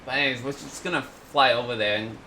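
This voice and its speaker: Male gamer, medium pitch, sharp bright tone, casual halting commentary, faint background noise. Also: fairly even intonation, low-quality recording. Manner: halting, thinking aloud. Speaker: gaming video host.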